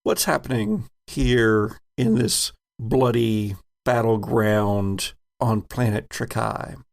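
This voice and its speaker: A man's voice: on the low side, slow, creaky. A man narrating a tabletop game as game master.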